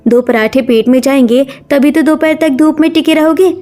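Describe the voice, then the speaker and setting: A woman's voice: sits high, a little fast, mellow, close to an everyday voice. A woman voicing an older village mother-in-law, blunt and grumbling, in a cartoon story. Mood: scolding. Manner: blunt, scolding.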